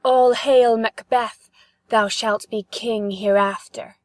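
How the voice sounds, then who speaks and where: A woman playing a solemn witch who chants prophecies in a measured way for a dramatic Shakespeare reading. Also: a little high, fairly even intonation, no echo. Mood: prophetic.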